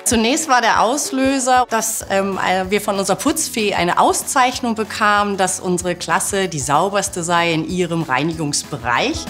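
A middle-aged woman speaks as a teacher being interviewed. Her voice is mid-pitched and she hesitates while finding words. Light music plays behind her. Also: normal speed, hardly any pauses, a bit bright. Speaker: class teacher. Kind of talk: interview answers. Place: classroom. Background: background music.

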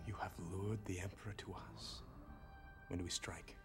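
An actor playing a young, serious dark-side apprentice in a video game, obedient, determined and terse. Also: male voice, low-pitched, little pitch movement, moderate pace, over music. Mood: obedient, intent. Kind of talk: cinematic video game voice acting.

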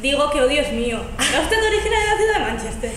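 A woman's voice: high voice, sing-song, racing, fairly bright tone, slight background noise. A teenage girl from Spain, playing a formal, overly polite lady who keeps exclaiming in surprise. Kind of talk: amateur school stage acting. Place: large school hall stage. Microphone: far camera. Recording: echoey hall from far off.

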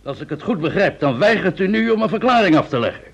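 A man's voice: high voice, big pitch swings, quick pace, creaky, full character voice. A man playing a stern, formal senior police interrogator in a vintage radio play. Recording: dull vintage radio drama recording.

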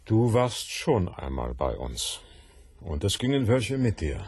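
An actor playing a wary, stubborn village hunter and father with a husky voice in a radio drama. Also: lowish voice, big pitch swings, takes it slowly, a little mellow, no room sound, heavily acted.

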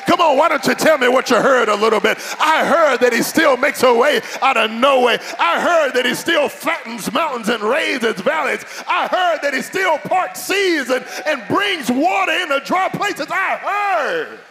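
A man in his thirties preaching an animated, fervent sermon as a pastor, repeating phrases rhythmically for emphasis. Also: very high-pitched, moderate pace, few pauses. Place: large church auditorium stage. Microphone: handheld microphone. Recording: noisy large hall with long reverb.

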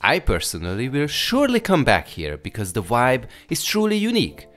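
A man's voice: medium pitch, huge pitch swings, normal speed, a bit bright. A man with a Hungarian accent reading a scripted travel guide voice-over. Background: music.

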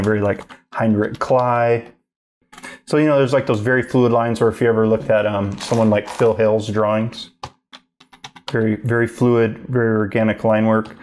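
Guy with a low gravelly voice rambling through a drawing demo in his home studio. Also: a little mellow. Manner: casual, rambling, thinks aloud while working. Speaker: art instructor. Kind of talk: live drawing demo narration.

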